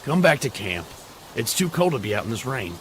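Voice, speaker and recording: A man acts as a protective, insistent survivor in a zombie comic dub. His voice sits at an average male pitch, with soft rain noise in the background. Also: big pitch swings, a little fast, natural voice. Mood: reassuring. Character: protective, persistent male survivor consoling a friend's wife. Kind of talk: dramatic comic dub voice acting.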